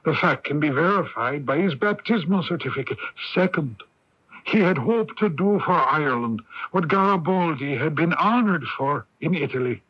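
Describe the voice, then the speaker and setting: An actor playing a forceful, witty older playwright who argues in deliberate rhetoric, in radio drama style. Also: male voice, fairly high pitch, animated, slightly rough. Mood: argumentative. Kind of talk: 1980s radio drama acting. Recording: dull lo-fi 1980s AM radio recording.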